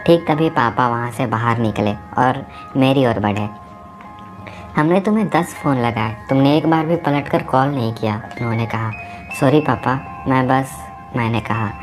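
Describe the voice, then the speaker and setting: A man narrating a novel aloud at home, with a sore throat from a cough, voicing the dialogue as he reads. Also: medium pitch. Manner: casual, mixes English words into talk. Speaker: audiobook narrator. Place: home recording, busy household.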